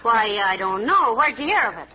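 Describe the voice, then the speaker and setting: An American actress playing a patient, dryly sarcastic, folksy wife in a 1940s radio comedy. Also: female voice, a little high, sing-song, moderate pace. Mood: friendly, wry. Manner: dry, folksy scolding. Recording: noisy, muffled vintage radio recording.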